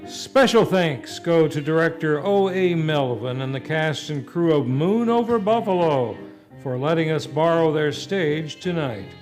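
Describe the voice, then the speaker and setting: A male series host delivers a scripted vintage radio sign-off from a theater stage. His voice sits high, with formal, showy phrasing over clearly audible music. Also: animated, unhurried, a little mellow. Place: theater stage, live broadcast. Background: live music.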